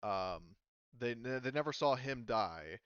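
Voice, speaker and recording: A man's voice: medium pitch, expressive, unhurried, a bit bright, clean tone, no echo, muffled recording. A man acting as game master, explaining rules and backstory in a casual, rambling way with many fillers.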